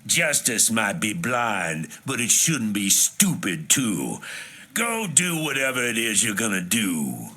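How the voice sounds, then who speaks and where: A man playing a world-weary, pragmatic police detective in a video game, gruffly matter-of-fact and measured. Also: fairly low pitch, huge pitch swings, bright, forceful tone, heavily acted. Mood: pointed, resigned. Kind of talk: video game cutscene acting.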